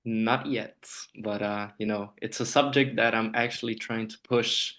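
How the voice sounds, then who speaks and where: A man's voice: on the low side, steady tone, a little slow, fairly bright tone. A man from Honduras, a native Spanish speaker, answering conversationally as an interview guest. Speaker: podcast guest, nuclear trainer.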